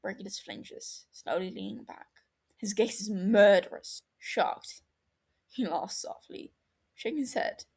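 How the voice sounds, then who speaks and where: A woman's voice: medium pitch, measured pace, vocal fry, a little mellow, breathy edge. A woman reading a fan fiction story aloud as scripted narration.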